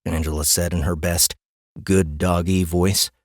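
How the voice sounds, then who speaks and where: A man's voice: low voice, level tone, a little slow, dry recording. A man narrating a comic fantasy audiobook with wry, dry humor in a studio.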